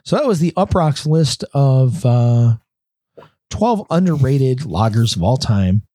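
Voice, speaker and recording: A man co-hosting a casual podcast, talking through a bit of congestion. His low, mellow voice has a husky edge. He rambles with frequent fillers, recorded close and dry. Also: very animated, slow, no echo. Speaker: podcast co-host. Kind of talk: casual chat.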